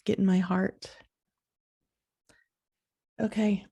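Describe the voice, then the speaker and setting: A woman hosting a podcast in a soft, sleepy voice, talking in a slow, halting, reflective way. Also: mid-range voice, level tone, husky. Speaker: podcast host.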